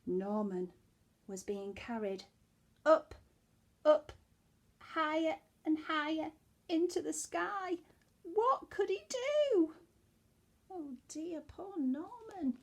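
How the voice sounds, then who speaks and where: Very animated, high-voiced woman storyteller. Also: slow, long pauses. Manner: expressive, dropping into hushed asides. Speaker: teacher reading a storybook to young children. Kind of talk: animated children's storytelling with chatty asides to listeners.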